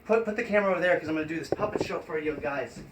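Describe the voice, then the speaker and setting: High-voiced man livestreaming in an echoey room. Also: rapid-fire. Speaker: live stream host and performer. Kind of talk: casual improvised livestream chat. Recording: echoey room, noisy live stream audio.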